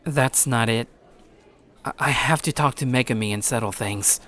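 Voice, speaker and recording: A man's voice: mid-range voice, normal speed, a bit bright, lightly acted. A man playing an earnest, nervous teenage schoolboy in an anime-style audio drama, hesitant and pleading in manner. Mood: friendly, flustered.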